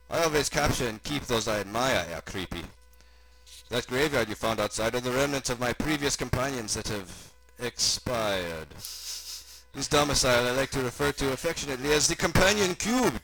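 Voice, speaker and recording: A man's voice: mid-range voice, lively intonation, normal speed, fairly bright tone, poor audio. A man voicing an eccentric, sinister German mad scientist with a mock German accent and theatrical, over-enunciated delivery. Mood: gloating, sinister.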